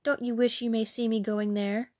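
A woman's voice: a little high, little pitch movement, normal speed, mellow, no room sound, clean studio sound, close to an everyday voice. A woman voicing a rude, arrogant young girl in a fairy tale, sulky and sarcastic. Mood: insolent. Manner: sneering, sarcastic.

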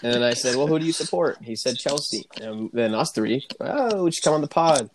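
A man's voice: medium pitch, lively intonation, a little fast. Male American sports podcast host, casual banter, noticeable noise and clicks behind the voice.

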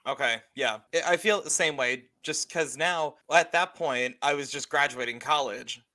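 Bright-voiced thirty-year-old man chatting casually. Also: a little high, moderate pace. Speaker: podcast co-host. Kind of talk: casual chat.

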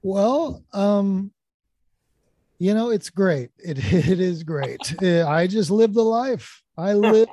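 Soft-voiced, soothing deadpan man. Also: high-pitched, slow pace, no echo. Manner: dry, deadpan self-deprecating humor. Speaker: guest comedian. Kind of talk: casual podcast chat.

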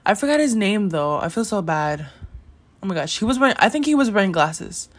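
A woman's voice: medium pitch, sing-song, a little fast. A teenage girl hosting her own podcast in a casual, rambling chat, full of "like" and "you know" and frequent giggles. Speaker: podcast host.

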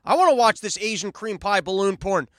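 A man's voice: high voice, expressive, a little fast, no echo, studio quality. A man hosting a solo comedic podcast live, brash and profane, rambling through riffs. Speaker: podcast host. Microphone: studio mic on a live stream.

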